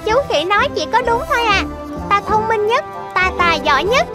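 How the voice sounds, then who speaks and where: A woman's voice: extremely high pitch, animated, fairly quick, loud music behind the voice. A woman voicing a naive, vain young kitten in a children's cartoon, childlike and cutesy, eager to please. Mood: flattered, proud. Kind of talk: animated children's cartoon dubbing.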